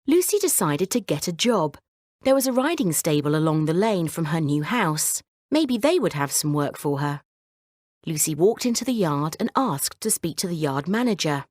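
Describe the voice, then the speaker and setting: A woman narrating a scripted children's bedtime story in a measured, clearly phrased way. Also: low-pitched, very animated, a little mellow, no room sound, clean studio sound.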